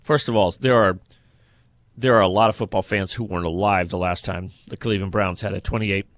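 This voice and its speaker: A man with a lowish, bright and forceful voice talks sports as a podcast co-host. He is blunt and opinionated, speaking conversationally. The sound is dry and close. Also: steady tone, brisk, no echo.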